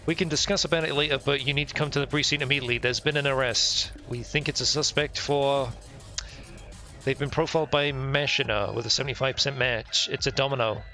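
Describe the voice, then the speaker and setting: A man's voice: mid-range voice, fairly even intonation, brisk, bright, forceful tone, natural voice. Man reading as an earnest, deferential police lieutenant. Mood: urgent. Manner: deferential, insistent. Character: earnest, apologetic police lieutenant pressing the boss. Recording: music playing behind the voice.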